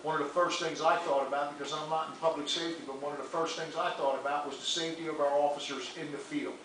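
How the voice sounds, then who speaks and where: A man serving as mayor gives measured, explanatory remarks at a public meeting. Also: fairly high pitch, fairly even intonation, a bit bright. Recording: very echoey room, distant mic, noisy.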